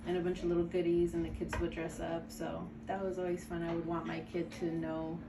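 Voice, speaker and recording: A woman's voice: on the low side, completely flat delivery, a little fast, vocal fry. A woman answering interview questions about her family traditions in a plain, matter-of-fact way. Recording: echoey room, distant mic, background noise.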